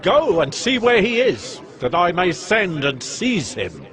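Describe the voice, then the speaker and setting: An imperious, suspicious king gives orders in a Bible audio drama. His voice is fairly high and highly animated, with a declamatory edge. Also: unhurried, full character voice. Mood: angry, demanding.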